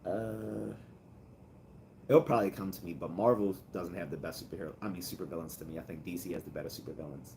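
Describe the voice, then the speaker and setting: A man with a very low, calm voice chats loosely on his livestream and answers viewer comments as they come in. He rambles, restarts and fills gaps with "um" and "uh". A faint noise runs behind him. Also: fast. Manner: rambling, with many fillers and restarts. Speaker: livestream host. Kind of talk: casual livestream chat, reading comments.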